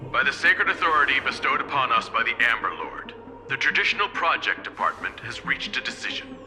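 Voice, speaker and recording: A man playing a shrewd, composed corporate executive in a video game, suave and deliberate, with music behind him. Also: mid-range voice, lightly acted. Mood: ceremonial. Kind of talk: scripted video game voice acting.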